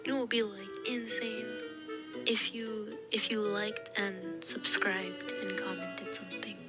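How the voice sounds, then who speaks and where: A young adult woman telling stories to camera in a casual, animated way, with frequent laughs and fillers. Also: medium pitch, unhurried. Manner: animated and giggly, with many fillers. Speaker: vlogger. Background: background music.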